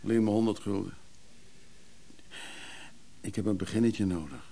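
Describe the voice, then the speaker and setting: Radio play role: needy, resentful man down on his luck, creaky mellow voice, pleading. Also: fairly low pitch, moderate pace. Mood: needy, sullen. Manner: reproachful, pleading. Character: bitter, needy, down-on-his-luck brother, a recovering drinker. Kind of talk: radio drama acting.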